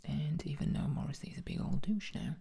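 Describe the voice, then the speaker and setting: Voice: medium pitch, brisk, vocal fry, dark tone, husky. A speaker, whispering an ASMR commentary with dry, jokey asides while showing paintings.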